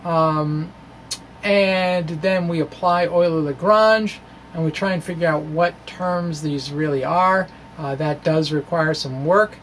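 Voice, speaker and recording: A middle-aged American man giving an informal physics lecture to camera in a small room, explaining haltingly with trailing asides into a microphone on a stand. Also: on the high side, a little hiss or hum. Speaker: physics video blogger. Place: small indoor room with bookshelves. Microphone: microphone on a stand close in front.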